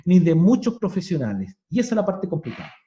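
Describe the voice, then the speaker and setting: A man's voice: sits high, wildly swooping pitch, fast, dark tone. A Chilean man explaining farming practices in plain, conversational terms during a webinar. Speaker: agronomy speaker. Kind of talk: webinar talk. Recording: roomy, muffled online call.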